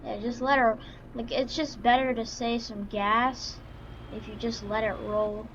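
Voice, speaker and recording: Female gaming YouTuber, highish voice, unhurried and halting, casual roleplay commentary, lo-fi recording with faint vehicle noise. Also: little pitch movement, a bit bright. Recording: dull lo-fi mic, faint background noise. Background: vehicle engine sounds from the game.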